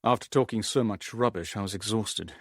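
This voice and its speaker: An actor playing a weary, shrewd shipping owner in a radio drama, speaking in a measured, clipped and deliberate way. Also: male voice, lowish voice, slightly rough, no echo, clean studio sound. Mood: grave, reflective. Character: weary, shrewd shipping owner narrating his own spy ordeal. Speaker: narrator and lead character. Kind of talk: radio drama, first-person narration and dialogue.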